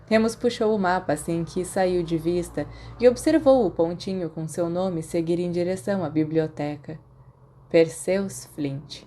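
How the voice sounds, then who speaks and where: A woman's voice: fairly low pitch, a little slow, dark tone, slight background noise. A Brazilian woman reading scripted fiction aloud as an audiobook narrator.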